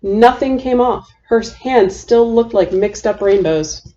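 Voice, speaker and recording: A woman reading a children's picture book aloud as a storytime host, with a deliberate, repeating storytelling cadence. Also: a little high, mellow, lo-fi sound.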